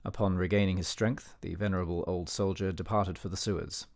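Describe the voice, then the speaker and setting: A man acting as an educated, disapproving older gentleman narrating a memoir in a radio play, measured, formal and dignified. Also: deep voice, fairly even intonation, gravelly. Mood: measured, reflective.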